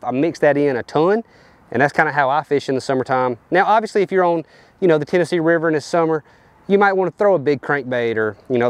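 Male angler in his thirties, slightly high voice, dry close lapel sound, outdoors, easygoing teaching tone. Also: dry recording. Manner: loose, rambling, lots of fillers like "you know" and "kinda". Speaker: professional bass angler. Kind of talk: casual fishing tips to camera. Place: outdoors on a riverbank and boat deck. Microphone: lapel mic.

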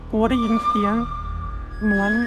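A woman voicing a boastful schoolkid in a cartoon, telling a scary story slowly and suspensefully, in halting, drawn-out phrases. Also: lightly acted. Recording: music behind the voice. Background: clear background music.

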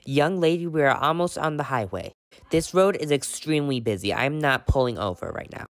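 A woman's voice: low voice, lively intonation, brisk, no room sound, studio quality. A woman playing a weary, practical mother in a pretend-play story, firm and matter-of-fact. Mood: firm, patient. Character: weary, practical mom of a young girl.

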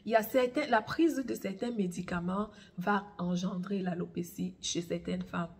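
A woman in her thirties with a slight cold, hosting an advice vlog in a measured, emphatic way and pausing to search for words. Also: fairly low pitch, steady tone. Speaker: host of a natural health and hair care channel. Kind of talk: explanatory advice vlog addressed to the viewer. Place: small room at home, indoors.

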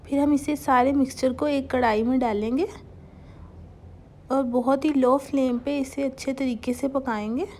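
A young woman hosting a home cooking channel, calmly talking viewers through a recipe step by step and mixing English cooking terms into her speech. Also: high-pitched, normal speed, slight background noise. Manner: calm, instructive, mixes English cooking terms into Hindustani speech.

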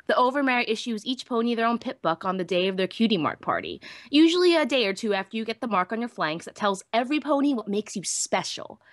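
A woman plays a young unicorn mare telling her own story in an audiobook. She is chatty, wry and self-deprecating, at a medium pitch, in a very dry recording with no room sound. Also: dry recording, natural voice. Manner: conversational, wry, explaining. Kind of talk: first-person audiobook reading.

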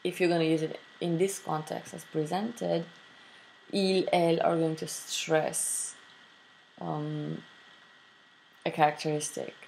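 A slow, deliberate woman teaching French, her voice low and gravelly with a mellow, dark tone. She reads each textbook line aloud, then translates it plainly into English. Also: a little hiss or hum, poor audio. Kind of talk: screen-recorded textbook walkthrough.

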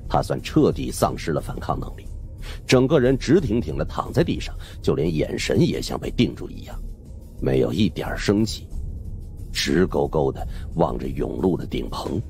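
A man with a very low voice narrates a supernatural suspense story. His intonation swings widely and dramatically, with music playing underneath. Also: very animated, normal speed, vocal fry, mellow. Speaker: audiobook narrator.

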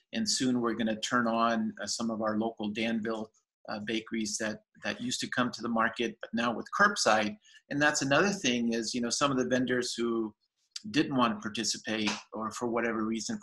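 A man in his fifties speaks from a home room over a laptop webcam. His voice sits low and fairly flat, with a bright, forward edge. He rambles eagerly, full of fillers and restarts. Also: rough recording. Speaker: farmers market general manager. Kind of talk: casual video-call interview. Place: home room with shelves, indoors. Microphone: laptop webcam on video call.

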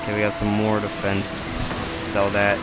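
Nasal excitable young gamer guy. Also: lowish voice, normal speed, background noise. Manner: excitable, swears a lot. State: has a cold. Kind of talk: casual let's play commentary. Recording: loud game music behind.

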